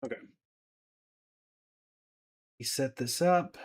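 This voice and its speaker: A man's voice: mid-range voice, takes it slowly, slightly rough. A man chatting casually to viewers as he hosts a live gaming stream. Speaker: livestreamer.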